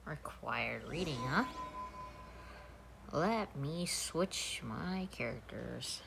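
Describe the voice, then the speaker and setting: A woman's voice: low-pitched, lively intonation, very slow, vocal fry. A woman streaming a video game, giving casual, muttered live reactions as she plays. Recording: game music behind the voice.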